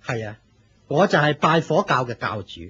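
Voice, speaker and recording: An actor playing a proud, fervent leader of a fire cult in a Hong Kong Cantonese radio drama, declaiming with pompous authority. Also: male voice, mid-range voice, lively intonation, moderate pace, sharp tone, slightly rough, dull audio, heavily acted. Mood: authoritative.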